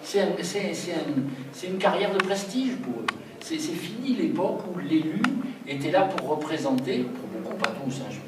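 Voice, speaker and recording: A man's voice: fairly high pitch, animated, normal speed, hardly any pauses. A man leading a public talk, making his points emphatically and laying them out one by one. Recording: roomy hall, distant mic, noisy.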